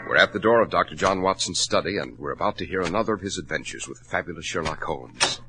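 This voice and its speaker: A man's voice: sits low, quick pace, over music. An American man announcing in a polished, salesmanlike 1940s radio style as host and sponsor spokesman.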